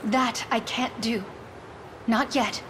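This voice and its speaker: A woman's voice: steady tone, slow, background noise. A woman voicing an earnest, proper young noblewoman who dreams of knighthood, speaking formally in a video game. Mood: resolute, earnest. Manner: formal, high-flown, earnest diction. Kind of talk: scripted video game voice acting.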